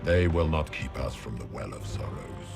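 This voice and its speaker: A deep, gravelly villain who believes himself a god, talking down to his foes with cold grandeur. His voice sits very low and rough. A game score swells loudly behind him. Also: moderate pace, music in the background. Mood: menacing. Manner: grandiose, commanding threats. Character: ancient self-proclaimed god, menacing male villain. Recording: game cutscene with loud score.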